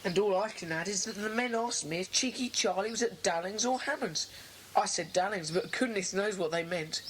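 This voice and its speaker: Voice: mid-range voice, normal speed, fairly bright tone, close to an everyday voice. An actor playing an earnest schoolboy club member in a children's audio drama, speaking in a matter-of-fact way. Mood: puzzled. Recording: faintly noisy 1980s cassette.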